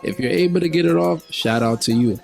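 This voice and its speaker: A man's voice: a little high, brisk, creaky, darkish tone, faint music behind. A man giving casual, slangy, hyped gaming commentary, ranking fighting game characters. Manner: slangy, hyped, laid-back street talk. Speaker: gaming video creator.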